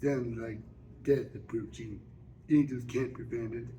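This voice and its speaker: A man reading a first-person novel aloud as an amateur narrator, halting, with stumbles and repeated words. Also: mid-range voice, fairly even intonation, mellow. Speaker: amateur audiobook reader. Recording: faint background noise.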